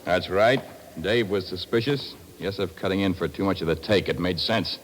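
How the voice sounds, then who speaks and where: A man's voice: sits low, moderate pace. An actor playing a smug, treacherous gunman in a 1950s radio drama, with a menacing, sardonic delivery. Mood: smug menace. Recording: echoing, noisy vintage radio recording.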